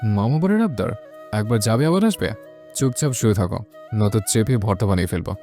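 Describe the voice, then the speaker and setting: Woman doing a very deep, bossy young husband. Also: animated, brisk, mellow. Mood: threatening, teasing. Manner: curt, commanding. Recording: music under the voice.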